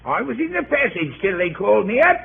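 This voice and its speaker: A high-pitched cheeky Cockney manservant. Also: male voice, very animated, brisk, vocal fry, heavily acted. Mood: droll, unruffled. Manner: droll, wordy, mock-respectful. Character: cheeky, unflappable Cockney manservant in the witness box. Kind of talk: 1930s radio acting. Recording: tinny, noisy 1930s radio broadcast.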